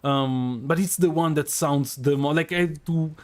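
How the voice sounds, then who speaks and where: Man, strong Italian accent, fairly high voice, hesitant live game commentary, lots of ums and restarts. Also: normal speed. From Italy. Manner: musing, hesitant, many fillers and restarts. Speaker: streamer.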